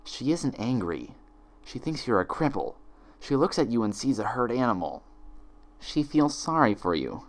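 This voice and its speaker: Sinister female pony acted by a man, sibilant, cooing, falsely sympathetic, storybook villain, swooping pitch. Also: very animated, normal speed. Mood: cold cruelty. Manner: mock-sympathetic, insinuating.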